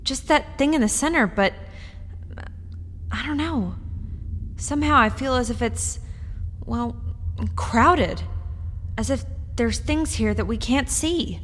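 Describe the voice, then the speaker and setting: A woman's voice: on the high side, lively intonation, takes it slowly, pauses often, fairly bright tone. A woman playing an uneasy but curious wife in a radio horror play, hesitant and full of wonder. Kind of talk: radio horror drama acting. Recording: reverberant, noisy radio drama recording.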